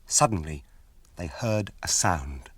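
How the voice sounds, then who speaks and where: A man playing a sober storyteller, narrating a fantasy radio drama in a measured, deliberate British accent. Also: low voice, expressive, fairly bright tone, slightly rough, no echo, exaggerated voice. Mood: sober, ominous.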